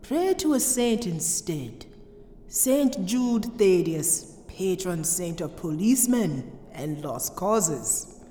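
A woman's voice: lowish voice, sing-song, slow pace, warm tone, slight background noise. An actress playing a haughty, mysterious voodoo queen in a radio drama, cryptic and commanding, with a folksy dialect. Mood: solemn, mysterious. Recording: roomy echo, long reverb, music underneath.

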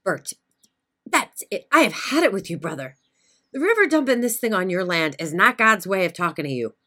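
A seething, devout small-town woman in a radio drama. Her voice is forceful and sharp, swinging widely in pitch as she scolds with stern indignation. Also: sing-song, normal speed. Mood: indignant. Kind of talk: radio play acting.

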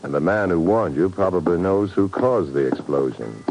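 Very deep-voiced, unruffled secret agent. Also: male voice, lively intonation, moderate pace, creaky. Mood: probing. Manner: unruffled, dry, wry. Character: cool, dry, worldly male secret agent. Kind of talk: 1950s radio drama acting. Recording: noisy vintage 1950s radio recording.

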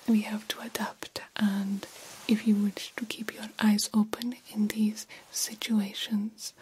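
A woman playing a formal, caring vampire doctor in an ASMR roleplay, whispering softly and reassuringly. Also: medium pitch, a little hiss or hum, close to an everyday voice, rough recording. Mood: calm, instructive. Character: formal, caring vampire doctor examining a young bat fledgling.

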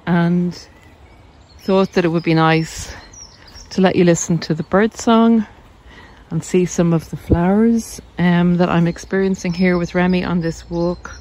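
A woman with an Irish accent talking informally and reflectively to viewers while out on a walk, pausing as she explains. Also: on the low side, fairly even intonation, darkish tone. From Ireland. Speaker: video host, narcissism coach. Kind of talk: casual chat to camera while walking. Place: outdoors on a country walk.

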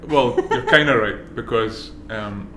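A man's voice: mid-range voice, measured pace, fairly bright tone. A middle-aged Scottish man, a brewery co-founder guest on a casual podcast, speaking in a laid-back way with dry humor and many fillers. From Scotland. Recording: roomy with noise behind.

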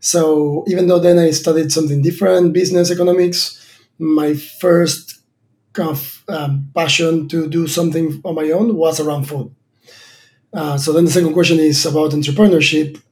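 Thoughtful Argentine-born founder, mellow voice. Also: male voice, fairly high pitch, fairly even intonation, normal speed. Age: 30s. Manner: thoughtful, many fillers and restarts. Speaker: startup founder and guest. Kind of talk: interview answers.

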